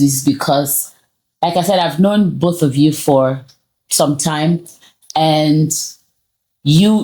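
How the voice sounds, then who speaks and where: Low-voiced Nigerian woman hosting a talk. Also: darkish tone, low-quality recording. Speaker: host of a talk show. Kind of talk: casual conversational hosting.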